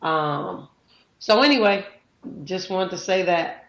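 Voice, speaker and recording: A woman's voice: fairly low pitch, expressive, slow pace, fairly bright tone, echoey room, poor audio. A woman hosting a live audio chat room, talking casually with many fillers and introducing guests. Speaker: host.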